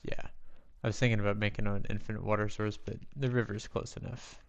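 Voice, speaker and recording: A man with a raspy voice giving casual live gameplay commentary, rambling and thinking aloud in a self-deprecating, playful way. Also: on the low side, level tone, brisk. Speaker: game streamer.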